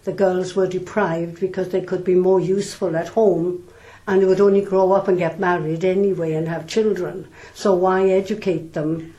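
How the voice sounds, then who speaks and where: An older woman from South Wales, a nun calmly answering interview questions with thoughtful pauses. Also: fairly low pitch, muffled recording. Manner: measured, thoughtful, with frequent pauses and ums.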